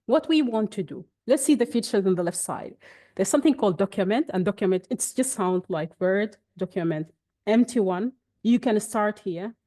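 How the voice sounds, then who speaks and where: A young woman whose first language is Arabic, presenting a webinar and walking through software on screen in a chatty, explanatory way with frequent restarts. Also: mid-range voice, animated, normal speed. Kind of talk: screen-share software demonstration.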